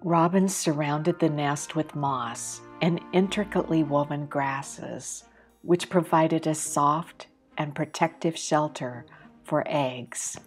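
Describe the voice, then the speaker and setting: Calm, measured female narrator with a low voice, over loud background music. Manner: calm, measured, reflective reading. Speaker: artist narrating her drawing film. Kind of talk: scripted documentary narration.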